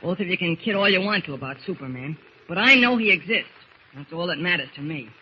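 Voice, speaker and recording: A man's voice: high-pitched, lively intonation, fast. An actor playing an earnest, curious boy on a ship in 1940s radio style. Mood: stubborn, earnest. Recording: muffled, noisy old radio recording.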